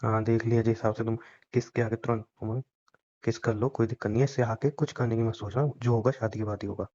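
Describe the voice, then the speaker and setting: A man's voice: on the low side, level tone, quick pace, mellow. Young man teasing on a dull phone line. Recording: dull lo-fi phone call recording.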